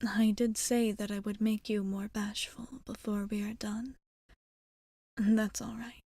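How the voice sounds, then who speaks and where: A woman playing a tender, old-souled vampire bartender in a whispered ASMR roleplay, speaking softly, deliberately and a little formally, with affection. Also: mid-range voice, little pitch movement, no echo. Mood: gentle, reassuring. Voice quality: soft, breathy whisper.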